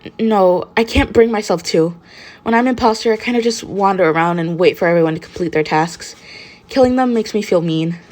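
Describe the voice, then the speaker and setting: A woman voicing a gentle, earnest teenage boy hero in a story, a little hesitant. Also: mid-range voice, a little fast, lightly acted. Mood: sheepish.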